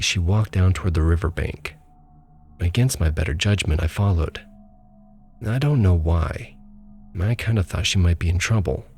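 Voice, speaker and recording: A man with a very deep, low voice tells a first-person ghost story in a calm, measured way. His delivery is steady, like a scripted narration. Faint music plays quietly underneath. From small town abroad. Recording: faint music bed behind.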